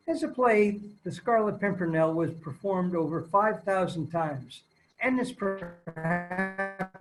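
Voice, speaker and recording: A man playing an old-time radio announcer and host, reading the script in a halting, stumbling way. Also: sits high, a little hiss or hum, low-quality recording. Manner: halting, stumbling table-read delivery. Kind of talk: 1930s radio show read aloud. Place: virtual table read from home.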